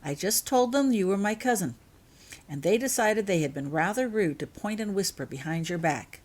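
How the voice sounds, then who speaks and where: A woman's voice: medium pitch, expressive, moderate pace, darkish tone, lightly acted. Young schoolgirl in a storybook, polite and frank, voice lifted a little by a female narrator. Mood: matter-of-fact.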